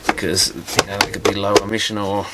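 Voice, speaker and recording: A man's voice: on the low side, completely flat delivery, brisk. A man giving casual hands-on repair commentary, muttering and thinking aloud as he works. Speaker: electronics repair hobbyist presenting a teardown. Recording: clear background noise with clicks.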